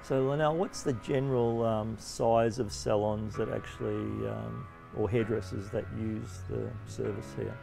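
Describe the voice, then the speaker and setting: A man's voice: on the low side, fairly even intonation, a little slow, creaky, few pauses. A middle-aged Australian man casually presenting and interviewing for a promotional video. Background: music with guitar.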